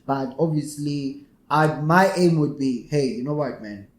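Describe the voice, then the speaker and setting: Animated young man, echoey room. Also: on the high side, unhurried. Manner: animated, laughs often, fills with "yeah" and "bro". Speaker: podcast guest, musician. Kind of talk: casual podcast chat. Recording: echoey room with faint background noise.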